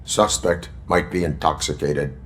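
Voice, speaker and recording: Deep, deadpan cyborg police officer. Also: male voice, slight background noise. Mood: stern, instructive. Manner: formal, measured, deadpan. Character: stern, by-the-book cyborg police officer, male, instructing a rookie. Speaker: police field training officer. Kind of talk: video game voice acting.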